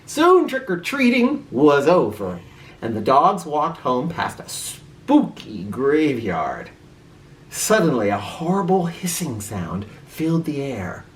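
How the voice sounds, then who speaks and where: A woman reading a children's story aloud in a theatrical, expressive way, with an informal touch. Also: deep voice, takes it slowly. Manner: theatrical, with informal asides to listeners.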